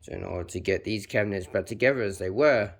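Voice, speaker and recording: Deep gravelly young New man. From New Zealand. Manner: deliberately putting on an extra-deep voice; chatty, filler-heavy, talks while working with his hands. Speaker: vlogger. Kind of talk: casual how-to narration while working.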